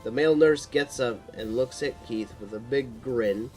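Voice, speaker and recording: A man's voice: mid-range voice, measured pace, a little mellow, soft background music, rough recording. A man narrating a supernatural horror story in a dramatic read-aloud style, sometimes stumbling over the text.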